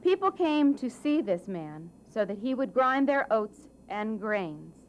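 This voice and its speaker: Slow, animated woman storyteller. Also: on the high side, slight background noise. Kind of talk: scripted children's story narration.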